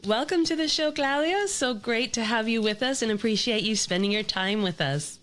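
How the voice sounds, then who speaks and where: A woman's voice: fairly high pitch, animated, normal speed, a bit bright, no room sound. A woman hosting a podcast interview, upbeat and sprinkling Spanish words into her English. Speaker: podcast host.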